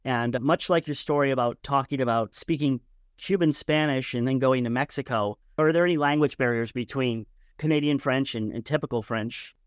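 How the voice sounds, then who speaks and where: Male podcast interviewer, mid-pitched and crisp, thoughtful conversational style, very dry sound. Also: moderate pace, dry recording. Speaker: podcast host.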